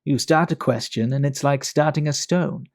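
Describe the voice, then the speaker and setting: Creaky, mellow Victorian gentleman, played by a man. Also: on the high side, natural voice. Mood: measured, confiding. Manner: measured, gossipy, fastidious storytelling. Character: Richard Enfield, worldly, talkative Victorian English gentleman. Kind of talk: audio drama acting.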